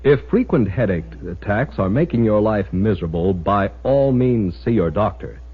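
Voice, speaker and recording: An American man delivering an old-time radio commercial as announcer, emphatic, deliberate and persuasive. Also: on the low side, animated, music in the background. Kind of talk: mid-century radio advertising pitch. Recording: muffled, dull old radio recording.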